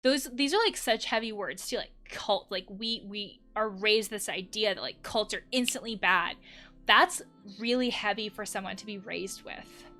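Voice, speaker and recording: A woman's voice: medium pitch, moderate pace, pushed and bright. A young adult woman hosting a casual game let's play, reading on-screen text aloud and adding reflective, conversational asides. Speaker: let's play host, counselor. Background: background music.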